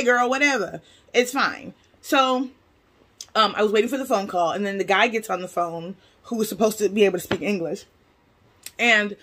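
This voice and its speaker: An American woman casually telling a personal story to her viewers, animated and slangy, voicing both sides of conversations as she goes. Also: mid-range voice. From United States. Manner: animated, slangy, conversational, acts out reported dialogue. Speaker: vlogger.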